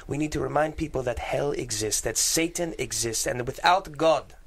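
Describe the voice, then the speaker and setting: Man as a gravelly, emphatic churchman. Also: mid-range voice, normal speed, close to an everyday voice. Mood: heated, alarmed. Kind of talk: table-read acting.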